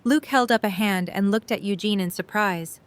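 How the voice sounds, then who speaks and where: A woman's voice: mid-range voice, normal speed. A woman reading scripted story narration for an audio drama.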